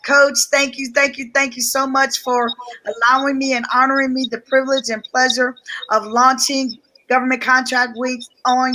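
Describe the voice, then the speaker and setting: A woman who sounds a little hoarse, speaking energetically on a live stream, greeting viewers and repeating herself with excitement. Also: fairly high pitch, a little hiss or hum, low-quality recording. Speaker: guest business coach. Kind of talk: live-stream promotional talk.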